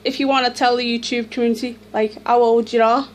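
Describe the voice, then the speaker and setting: High-voiced woman interviewing over the phone. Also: little pitch movement, fast talker. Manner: chatty, prompting, laughs often.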